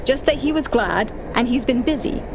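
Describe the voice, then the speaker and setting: A woman's voice: fairly high pitch, animated, normal speed, noisy background. An actress playing a lovestruck, stubbornly hopeful young woman in a 1940s radio drama, with an insistent, dramatic manner. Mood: dreamy, confident.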